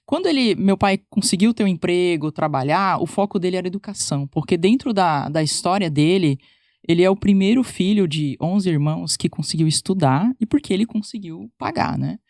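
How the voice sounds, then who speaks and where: A woman's voice: lowish voice, animated, brisk, darkish tone, no room sound, studio quality. A woman with a Paraná Brazilian accent, a physiotherapist chatting informally as a podcast guest, full of fillers and restarts. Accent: Paraná Brazilian Portuguese. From Brazil, born in Minas Gerais, raised in Paraná. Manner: chatty and informal, many fillers and self-corrections. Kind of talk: casual interview conversation.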